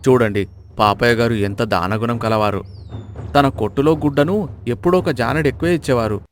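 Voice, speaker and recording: A man's voice: medium pitch, a little fast, a little hiss or hum, close to an everyday voice. A man voicing a sly, mock-solemn villager in a dramatised storybook tale. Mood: mock praise.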